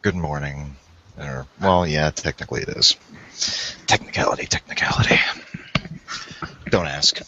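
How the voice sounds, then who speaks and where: A man from Chicago introducing himself with quick joking asides on an online panel. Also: a bass voice, bright, forceful tone, in a whisper. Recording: dry, muffled call audio.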